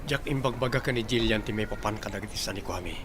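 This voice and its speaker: A man's voice: fairly low pitch, quick pace, noisy background, natural voice. A man playing a sincere young suitor in an audio drama. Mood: earnest. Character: sincere young man, courting, done with his ex.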